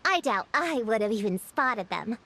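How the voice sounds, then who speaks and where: Earnest, high-voiced young girl. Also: big pitch swings, normal speed, a little hiss or hum, exaggerated voice. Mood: thoughtful, serious. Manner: matter-of-fact, reasoning things out. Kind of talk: video game character acting. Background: loud game music.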